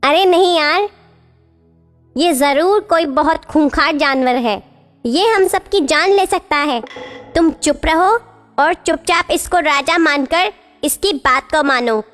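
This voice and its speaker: A woman's voice: sits high, slow, a bit bright, long reverb tail, soft background music. A woman voicing a timid female goat in a cartoon, speaking fearfully.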